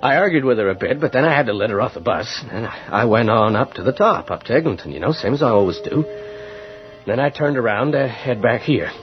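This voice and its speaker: An actor playing an uneasy, haunted night bus driver in a radio horror drama, speaking in a halting, agitated way. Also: male voice, mid-range voice, animated, close to an everyday voice. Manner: halting, agitated, searching for words. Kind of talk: old-time radio horror drama acting. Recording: dull old radio recording with music underneath.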